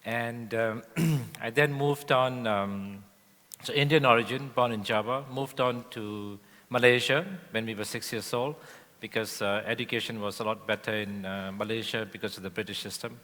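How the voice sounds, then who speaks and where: A man from Indonesia, a guest speaker telling his life story on stage in a relaxed way with frequent fillers. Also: lowish voice, normal speed. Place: large event hall on stage. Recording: long hall reverb.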